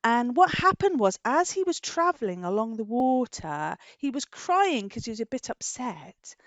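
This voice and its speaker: A British woman hosting story time for young children, asking animated questions, stretching key words and adding tag questions. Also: fairly high pitch, moderate pace, no echo, dull audio. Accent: British English.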